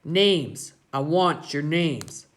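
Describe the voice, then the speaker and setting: A woman's voice: low voice, expressive, drawn-out words, a little hiss or hum, exaggerated voice. Woman playing a menacing, taunting biker boss. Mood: bullying. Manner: slangy, taunting, curt. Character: big-bellied, menacing, tattooed male gang boss, mocking.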